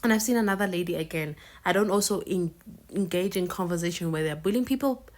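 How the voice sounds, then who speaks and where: A woman's voice: lowish voice, quick pace. Emphatic South African woman commentating. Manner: emphatic, firm, repeats points for stress. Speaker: reaction video host. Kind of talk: casual commentary to camera.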